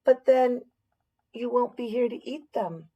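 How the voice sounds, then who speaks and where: Woman as an earnest young storybook girl, raised pitch. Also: unhurried, dark tone, breathy edge, highs cut off. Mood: puzzled protest. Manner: questioning, protesting.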